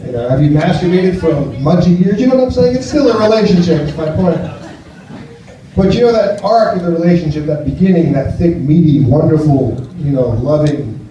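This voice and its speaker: A man's voice: fairly high pitch, lively intonation, brisk, dark tone. An American man in his fifties doing a rambling, self-deprecating stand-up set and chatting with the crowd in a small cafe. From United States. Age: 50s. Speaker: stand-up comedian. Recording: distant, roomy, muffled live cafe recording.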